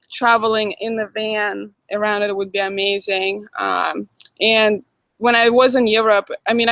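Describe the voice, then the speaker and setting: A woman's voice: medium pitch, vocal fry, a bit bright, dry recording, rough recording. A young woman from Russia with a Russian accent, hosting a casual livestream Q&A and chatting as she answers viewer comments. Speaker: livestream host. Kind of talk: casual live chat Q&A.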